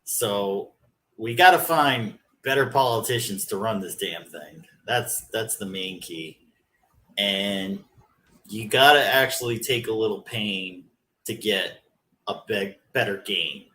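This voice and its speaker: A man co-hosting a podcast, calmly walking through his views on spending and health insurance in a casual discussion. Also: lowish voice, slow. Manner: explains at length, methodical. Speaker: podcast co-host.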